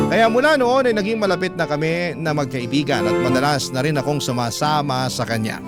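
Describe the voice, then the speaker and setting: A man with a Filipino accent reading a first-person love story in a measured, reflective radio narration style. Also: mid-range voice. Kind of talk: emotional scripted narration of a letter. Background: guitar music.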